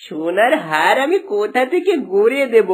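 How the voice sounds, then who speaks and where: A woman's voice: mid-range voice, sing-song, moderate pace, breathy edge. A woman voicing a greedy, blustering husband who turns cowardly and whiny in a children's cartoon. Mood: frightened, pleading.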